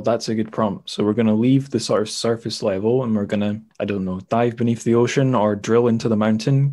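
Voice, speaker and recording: Lowish-voiced man hosting an interview. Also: a little mellow. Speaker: podcast host, interviewer. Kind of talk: casual thoughtful interview questions.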